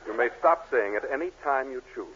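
An actor playing an exasperated photography boss in a 1940s radio comedy, formal and sarcastic. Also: male voice, medium pitch, lively intonation, fairly quick, natural voice. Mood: dry, exasperated. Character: dry, exasperated male photography studio boss. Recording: muffled, noisy 1940s radio recording.

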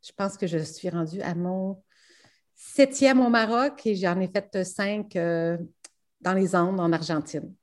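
A woman from Quebec with a Québécois accent, answering interview questions about her rallies with enthusiasm, often laughing and filling pauses with "euh". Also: on the low side, darkish tone. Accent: Québécois French. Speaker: rally co-driver.

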